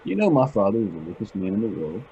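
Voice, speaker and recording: Man as smug young millionaire's son, low-pitched, blasé and understated. Radio play; dull, noisy recording. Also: big pitch swings, fast, warm tone. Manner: understated, smug, matter-of-fact. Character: blasé, boastful young heir of a fabulously rich family. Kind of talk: old-time radio drama acting.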